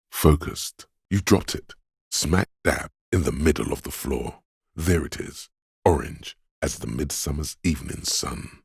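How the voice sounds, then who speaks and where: A very deep, raspy inner voice. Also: male voice, no echo, studio quality, exaggerated voice. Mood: sly, intrigued. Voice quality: very deep, gravelly, husky. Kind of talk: scripted second-person game narration.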